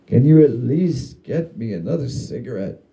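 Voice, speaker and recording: A crude, whiny ghost acted out by a man in a comic spooky story. The voice is very dark and soft-edged and swoops widely in pitch, with taunting, melodramatic delivery. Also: expressive, moderate pace, natural voice, low-quality recording. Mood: sulky, whiny. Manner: taunting, mock-parental, melodramatic. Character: gleeful, needling ghost of the narrator, crude and whiny. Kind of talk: dramatic comic story reading.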